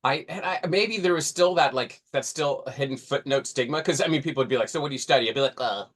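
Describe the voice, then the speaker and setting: A man's voice: mid-range voice, quick pace, fairly bright tone. A man in his forties co-hosting a podcast in casual discussion, rambling and often restarting mid-thought. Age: 40s. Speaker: podcast co-host.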